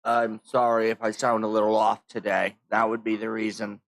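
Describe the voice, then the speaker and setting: An American man chatting casually on a gaming livestream, subdued and halting, a little under the weather with a sore throat. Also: fairly low pitch, monotone, gravelly, a bit bright, no room sound. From United States. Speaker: livestreamer, VTuber. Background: music.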